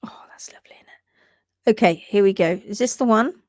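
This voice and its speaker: A woman hosting a live stream on hoof care, talking quickly and repeating herself, laughing often as she reads out viewer posts. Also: medium pitch, a little mellow, no room sound, studio quality. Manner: rapid-fire, repeats words, chatty. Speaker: livestream host, hoof care teacher. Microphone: livestream setup.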